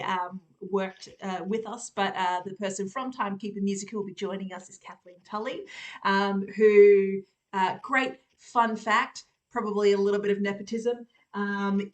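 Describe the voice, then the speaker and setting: An Australian woman hosts a relaxed live stream, chatting warmly and laughing between her sentences. She fills her pauses with plenty of ums and uhs. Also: mid-range voice. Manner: chatty, laughs often, many fillers. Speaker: host. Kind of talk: casual live-stream chat.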